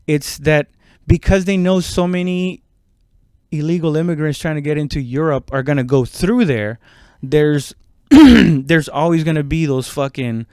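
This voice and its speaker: A man talking in a casual, rambling podcast monologue, halting and conversational, with frequent swearing. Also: mid-range voice, darkish tone, clear, resonant tone, no room sound, clean studio sound. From immigrant, moved abroad at seven. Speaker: stand-up comedian podcast host.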